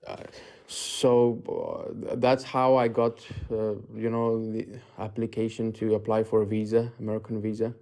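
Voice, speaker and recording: A man's voice: lowish voice, flat delivery, normal speed, a little mellow. A man in his twenties from Afghanistan, a livestream guest on audio only, chatting casually with many fillers and restarts. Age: 20s. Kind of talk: casual chat. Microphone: audio only, camera off.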